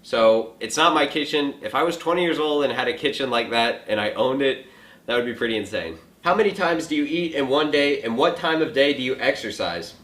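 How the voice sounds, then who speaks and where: A twenty-year-old man hosting a casual question and answer vlog from a home kitchen, relaxed and joking, with lots of filler likes. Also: mid-range voice, fairly quick. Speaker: fitness vlogger answering viewer questions. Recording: echoey room.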